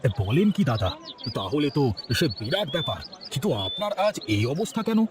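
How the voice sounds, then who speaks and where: A kindly village grocer for a cartoon. His voice is on the high side and his manner is trusting and homely. Faint ambient noise runs behind it. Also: big pitch swings, a little fast. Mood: friendly, curious. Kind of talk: cartoon voice acting.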